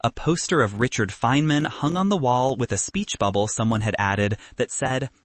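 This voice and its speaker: A man's voice: medium pitch, moderate pace, no room sound. A man narrating scripted comic fiction as an audiobook, with a wry manner.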